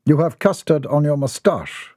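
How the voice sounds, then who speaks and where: A man's voice: on the high side, expressive, normal speed, dark tone, no echo, clean studio sound, voice pushed up. A man voicing a butler with stiff, clipped politeness masking resentment. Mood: stiffly polite.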